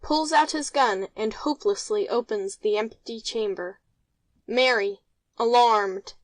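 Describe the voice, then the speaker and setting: A woman's voice: fairly high pitch, unhurried, dry recording. A woman reading out a play's stage directions as scripted narration.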